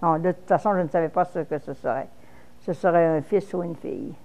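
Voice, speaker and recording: A woman's voice: sits low, brisk, a little mellow, poor audio. A woman in her fifties from Quebec with a Québécois French accent, speaking frankly in a personal interview, with false starts. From Quebec, Canada.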